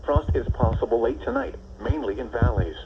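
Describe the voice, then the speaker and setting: A man of average pitch reads a scripted weather bulletin. The voice comes through a weather radio with very loud noise around it. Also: normal speed, narrow, tinny audio. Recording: very noisy weather radio playback.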